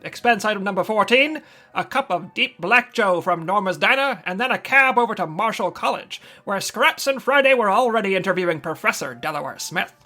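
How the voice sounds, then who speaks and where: An actor playing a wry 1940s insurance investigator in an old-time radio detective drama, with clipped hardboiled patter. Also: male voice, high-pitched, lively intonation, brisk, bright, forceful tone, soft background music. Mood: dry narration.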